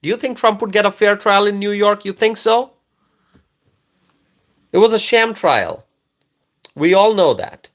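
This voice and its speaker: A man from Bangladesh, a native Bengali speaker, hosts a live stream and reads out viewer comments one by one. His voice sits a little high, and he talks slowly, repeating phrases as he coaxes viewers to respond. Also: expressive. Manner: slow, repetitive, coaxing viewers.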